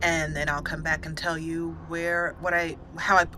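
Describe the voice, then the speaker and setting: A woman's voice: sits low, level tone, moderate pace, noisy background. A woman giving casual let's play commentary, chatty and giggly, explaining things step by step as she plays. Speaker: gaming channel host.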